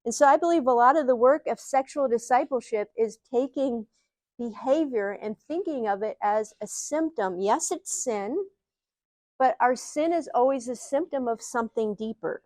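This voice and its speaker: Woman, middle-aged, Ohio Midwestern accent, fairly high voice, closely dry sound. Earnest live teaching speaker who poses questions to the listeners. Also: normal speed, a little mellow, dry recording. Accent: Ohio Midwestern American. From Ohio, USA. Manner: earnest, uses rhetorical questions. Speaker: speaker, psychologist. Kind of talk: live teaching talk to an audience.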